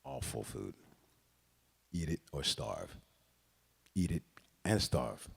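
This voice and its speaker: A man with a fairly low voice performs a dramatic reading of literary prose. He is deliberate and expressive, letting pauses fall between phrases. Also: gravelly, husky. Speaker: reader of a literary story.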